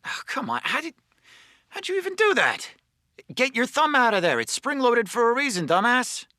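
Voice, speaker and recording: A man's voice: very high voice, huge pitch swings, moderate pace, pushed and bright, no room sound, clean studio sound, full character voice. A man playing an irritable GI in a war game, snappish and complaining. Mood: exasperated. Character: griping, irritable American GI, male. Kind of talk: video game unit voice lines.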